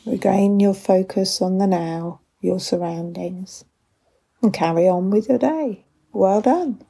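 A woman guides a meditation at an extremely slow pace, leaving long pauses between gentle instructions. Her voice is soft and breathy, with a mellow tone and plenty of creak at the edges. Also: medium pitch. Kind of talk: guided body scan meditation.

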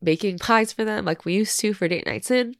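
A woman in her twenties, a podcast host, reading a personal letter aloud while crying and congested, halting and full of fillers. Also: medium pitch, no room sound, studio quality. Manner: tearful, halting, many fillers.

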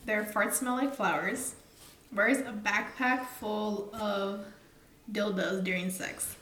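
A young woman co-hosting a casual chat podcast, teasing, swearing casually and laughing loudly. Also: mid-range voice, steady tone, slow pace. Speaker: podcast co-host. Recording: echoey room.

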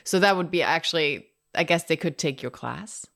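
A woman hosting a podcast interview, chatty and casual, laughing often and filling pauses with "like" and "um". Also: sits low, creaky, fairly bright tone. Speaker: podcast host.

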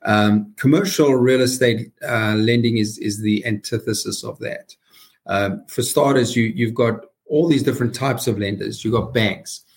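A man's voice: medium pitch, moderate pace, creaky. A middle-aged or older man with a South African accent, answering conversationally as a podcast guest. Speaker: podcast guest, company founder and chief executive.

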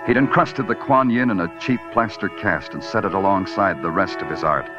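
Man acting a very deep, brooding hardboiled detective. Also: close to an everyday voice. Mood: brooding, grim. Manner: brooding, clipped, deliberate delivery. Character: world-weary, poetic hardboiled New York police detective. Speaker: actor playing the lead detective and narrator. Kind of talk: 1940s hardboiled radio drama acting. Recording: dull vintage radio recording, organ-style music behind.

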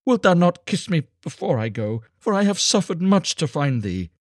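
Storybook beggar woman, played by a man: raised pitch, emphatic, desperate pleading, old-fashioned wording. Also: animated, a little slow, darkish tone, no room sound, clean studio sound. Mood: anguished pleading. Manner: archaic, imploring, emphatic speech. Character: ragged beggar woman, long-lost mother, anguished and pleading. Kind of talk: dramatised storybook reading.

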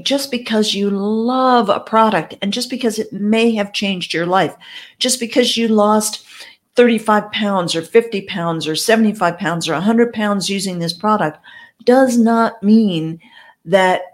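A woman with English as her first language, giving direct, conversational advice as a network marketing coach. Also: mid-range voice. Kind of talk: advice talk to camera.

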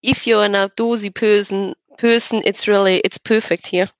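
A woman's voice: medium pitch, fairly even intonation, moderate pace, dry recording. Woman explaining, close dry sound. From eastern Switzerland. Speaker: podcast guest, regional tourism representative. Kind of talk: conversational interview.

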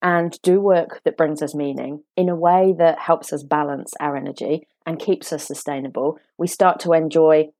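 A woman from the United Kingdom with a British accent, a guest explaining her ideas in a measured, reflective way. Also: deep voice, fairly even intonation, darkish tone, no room sound, studio quality. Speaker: guest, author and coach. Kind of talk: podcast interview.

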